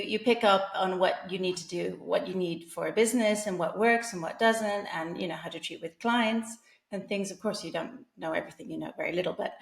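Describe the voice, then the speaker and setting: Woman guest, 40s, from England; chatty, restarts a lot, gravelly tone, roomy sound. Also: on the low side. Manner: chatty, frequent fillers and restarts, laughs often. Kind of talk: casual interview chat.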